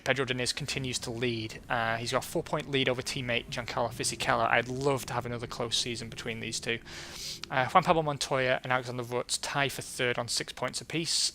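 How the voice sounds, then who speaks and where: A man casually commentating a racing management game as a let's play host, his voice going hoarse and clearing his throat now and then. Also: medium pitch, slight background noise. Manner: relaxed and chatty, reading out results.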